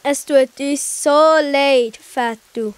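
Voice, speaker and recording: A woman's voice: high voice, fairly even intonation, extremely slow, no room sound. A woman playing an earnest young boy in a children's audio play. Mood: sheepish. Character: Hops, young boy child character, conscientious and encouraging.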